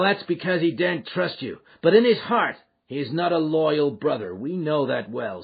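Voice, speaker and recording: A man's voice: on the high side, creaky, husky, phone-quality sound, voice pushed up, full character voice. A man playing a huge, menacing, blunt American lodge boss who talks in folksy threats in an audiobook. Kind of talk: audiobook character acting.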